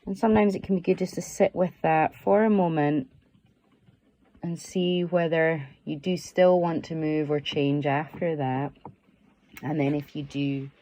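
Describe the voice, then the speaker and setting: A woman teaches guided meditation in a low, mellow voice with a noticeable creak. Her delivery is slow, soothing and permissive, giving gentle instructions without any push. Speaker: yoga nidra teacher.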